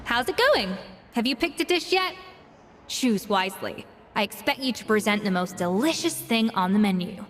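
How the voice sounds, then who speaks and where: A woman playing an exacting, haughty young master chef in a video game, speaking in a crisp, blunt, imperious way. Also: fairly high pitch, animated, moderate pace, in a large hall, a little hiss or hum, natural voice. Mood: commanding. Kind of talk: video game character acting. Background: game music.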